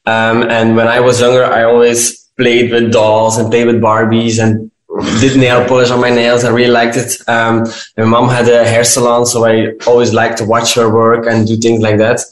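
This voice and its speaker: A man's voice: medium pitch, monotone, moderate pace. A man in his late twenties from western Belgium with a Belgian accent, talking as an interview guest. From west Belgium. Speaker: podcast guest, dancer and beautician. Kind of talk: interview answers. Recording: echoey room.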